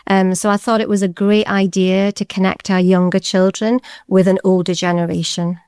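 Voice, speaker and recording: A Scottish woman in her forties speaks with a smooth, resonant voice. She answers questions chattily, with plenty of ums and restarts. The sound is dry and close. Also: medium pitch, level tone, moderate pace, no echo. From Scotland. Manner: chatty, warm-hearted, with many fillers. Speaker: deputy head teacher. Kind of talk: interview answers.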